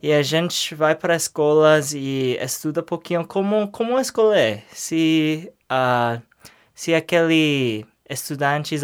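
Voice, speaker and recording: Young man, halting speech. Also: a little high, clear, resonant tone. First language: English. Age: young adult. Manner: hesitant, searching for words in a second language. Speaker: interview guest. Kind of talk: casual interview answers.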